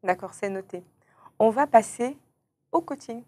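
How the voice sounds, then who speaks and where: A woman hosting an interview, posing structured questions and briskly acknowledging answers. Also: a little high, animated, mellow. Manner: brisk, affirming with short acknowledgements. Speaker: interviewer, show host.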